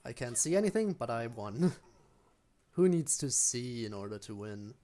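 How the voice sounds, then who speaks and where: Game streamer, mid-range pitch, casual off-the-cuff play-by-play, talking to self and to on-screen enemies. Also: big pitch swings, a little slow, mellow. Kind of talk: casual live gameplay commentary.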